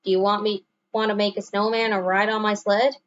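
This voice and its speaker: A woman's voice: medium pitch, little pitch movement, a little fast, lo-fi sound, lightly acted. A woman voicing a friendly young bear from a children's storybook, eager and coaxing in manner.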